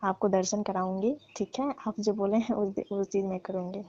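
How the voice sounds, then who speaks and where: A woman's voice: mid-range voice, steady tone, a little fast, warm tone. A woman, pleading deferentially in a private phone conversation. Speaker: domestic worker on a call with employer. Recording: muffled, roomy phone call recording.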